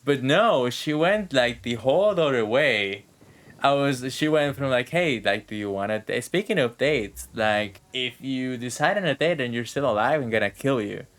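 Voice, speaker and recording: Female game streamer, very deep voice, laid-back casual commentary, slangy and sweary. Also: animated, normal speed.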